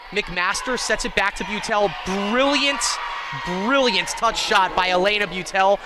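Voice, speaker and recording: A man calling live college volleyball play-by-play in an excitable, self-deprecating way. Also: sits high. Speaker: play-by-play sports commentator. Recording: clear gym noise, bouncing balls. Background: indoor volleyball match ambience.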